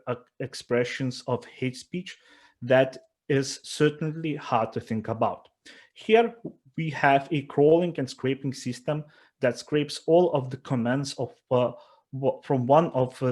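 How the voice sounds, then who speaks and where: A man with a Lithuanian accent giving an earnest startup pitch presentation, with frequent fillers and restarts. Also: a little mellow.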